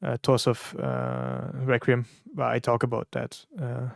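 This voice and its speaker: Casting: German-native man, reaction creator, gravelly tone, halting delivery, dry close sound. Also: medium pitch, little pitch movement, no echo. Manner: halting, many fillers and restarts, searching for words. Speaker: content creator reacting to songs. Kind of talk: casual reaction commentary.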